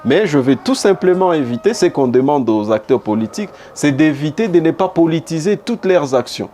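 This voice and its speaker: A man's voice: fairly high pitch, big pitch swings, normal speed, warm tone, soft background music. A man from DR Congo with a Congolese accent, giving emphatic, rhetorically repetitive answers as a politician in a broadcast interview. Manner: emphatic, repeats phrases for rhetorical effect. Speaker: politician and former humanitarian worker being interviewed. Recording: background noise and room ambience.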